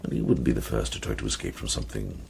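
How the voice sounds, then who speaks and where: A man playing a kindly, thoughtful older medieval monk in a radio drama, speaking in a measured, reflective way. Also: very deep voice, expressive, gravelly, darkish tone, slight background noise, full character voice. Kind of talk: BBC radio drama acting.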